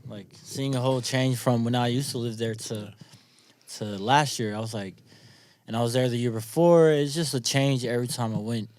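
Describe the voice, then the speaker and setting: A man's voice: mid-range voice, normal speed, darkish tone. A young man born in New Zealand and raised in California, chatting loosely as a podcast guest, dropping "bro" and "man" a lot. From born in New Zealand, grew up in California. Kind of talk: casual chat.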